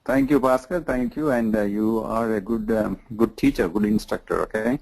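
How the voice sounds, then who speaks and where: A man's voice: on the low side, moderate pace, a little mellow, rough recording. Polite Indian man asking questions. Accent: Indian English. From Kerala, India. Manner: polite, questioning, some restarts. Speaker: new trainee. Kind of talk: casual conversation.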